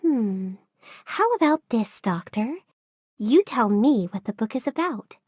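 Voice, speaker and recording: A playful, curious young female game character. She teases slowly in a high, very melodic voice, recorded dry and close. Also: big pitch swings, darkish tone, husky, no echo, exaggerated voice. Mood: playful coaxing. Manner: coaxing, teasing.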